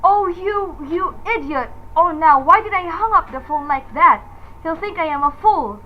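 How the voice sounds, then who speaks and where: A woman's voice: very high-pitched, lively intonation, moderate pace. A woman playing a nervous, demanding bedridden wife in a radio suspense drama, with fretful, melodramatic delivery. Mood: agitated, pleading. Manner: fretful, breathless, melodramatic exclamations. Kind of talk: old-time radio suspense acting. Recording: dull lo-fi audio with hiss.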